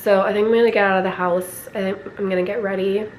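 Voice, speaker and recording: A young woman vlogging at home with a raspy voice from a lingering cold, rambling casually and clearing her throat often. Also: medium pitch, steady tone, fast, a little hiss or hum, rough recording. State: recovering from a cold, coughing. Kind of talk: casual vlog chat. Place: at home, indoors.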